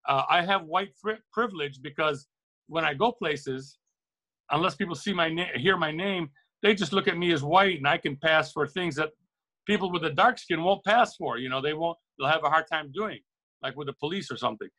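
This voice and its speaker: A man's voice: on the high side, normal speed, pushed and bright. A middle-aged man from Lebanon speaking earnestly and reflectively as an interview guest, often repeating and rephrasing words for emphasis. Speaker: interview guest, record shop owner. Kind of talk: reflective, opinionated interview talk.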